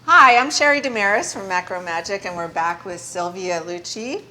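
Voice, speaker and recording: A woman's voice: medium pitch, moderate pace, background noise. A woman hosts a casual interview with an eager, chatty delivery. A faint room ambience sits under her voice. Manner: enthusiastic, chatty. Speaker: show host.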